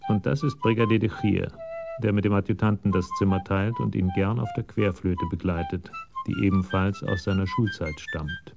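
Deep male storyteller, measured and literary, police radio drama with music under. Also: steady tone, vocal fry. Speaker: narrator. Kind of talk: scripted radio crime drama narration.

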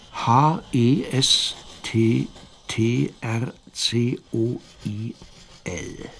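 Brooding radio drama narrator, slow n deliberate, gravelly, bit of noise behind. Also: male voice, mid-range voice. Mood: pensive, musing. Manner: measured, deliberate, pausing between phrases. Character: pensive male narrator musing over vanished letters. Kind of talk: scripted literary radio play narration.

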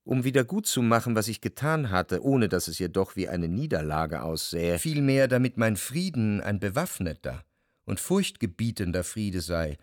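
Introspective narrator, dry studio sound. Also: male voice, on the low side, very animated, normal speed, no echo. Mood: pained, confessional. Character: introspective, doubting gentleman narrator recalling his love. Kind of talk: literary radio drama narration. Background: music.

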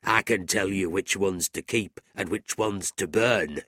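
A man voicing a scheming old schoolmaster in a low, sly voice. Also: unhurried, pushed and bright, husky, no echo, clean studio sound, full character voice. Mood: sly coaxing.

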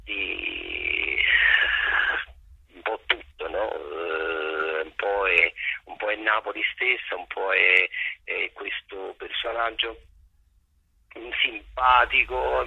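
A raspy-voiced man explaining his work reflectively as a theatre director in an interview, halting and repeating phrases as he searches for words. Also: lowish voice, pushed and bright, no echo. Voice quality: gravelly, raspy. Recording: dull lo-fi radio or telephone sound.

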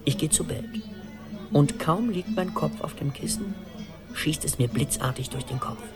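An actor in a radio play voicing an anxious middle-aged man who tells his story in an urgent, animated, rambling conversational monologue. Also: on the high side, moderate pace, loud music behind the voice, lightly acted. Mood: animated recounting. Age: 40s.

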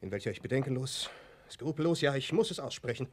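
A male actor playing an ambitious, self-justifying doctor in a radio play, speaking formally and theatrically with a husky voice. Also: mid-range voice, lively intonation, fast, a little mellow, slight background noise, lightly acted. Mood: grave, confessional. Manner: formal, clipped, declamatory. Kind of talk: German radio play acting.

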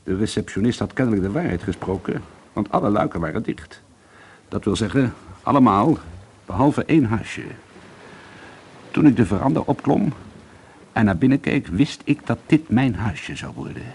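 Troubled, brooding man, low-pitched. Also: animated, normal speed, creaky, pauses often, a little mellow. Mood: reflective narrating. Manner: hesitant, confiding, repeating himself, brooding. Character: troubled, bitter schoolteacher and painter, insistent and haunted. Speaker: lead actor and first-person narrator. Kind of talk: radio drama monologue and dialogue. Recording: noisy old radio play recording.

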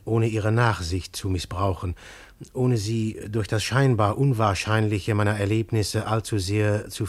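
An urbane ironic poet. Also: male voice, fairly low pitch, no echo. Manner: mocking, cool, amused. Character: ironic young male poet, urbane and skeptical. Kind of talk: 1950s radio play acting and narration.

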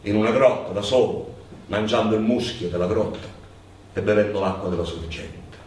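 A man's voice: on the low side, normal speed. Echoey, distant man telling a dramatic tale. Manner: dramatic, swelling from hushed to shouted. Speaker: stage actor and storyteller. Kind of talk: theatrical narrative monologue. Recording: very echoey, distant, faint noise.

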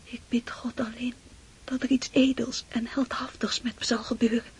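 An actress playing an earnest, idealistic young boy in a radio drama, breathy and fervent. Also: on the high side, flat delivery, moderate pace. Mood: fervent, resolute.

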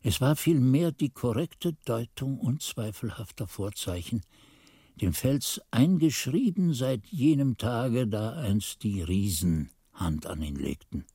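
An actor playing an old monk who recalls his youth, narrating a radio play in a measured, solemn, brooding way. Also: mid-range voice, warm tone, slightly rough, dry recording, studio quality.

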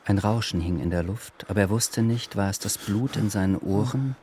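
A man's voice: on the low side, moderate pace, warm tone, slight background noise. A man narrating a literary radio drama from a script. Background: music.

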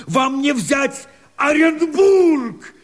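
An actor playing a desperate, bitter escaped convict and rebel, declaiming verse in a hoarse, strained, theatrical way for a radio drama. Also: male voice, extremely high pitch, expressive, heavily acted. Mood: frenzied defiance. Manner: impassioned, theatrical, drawn-out declamation with sudden outcries.

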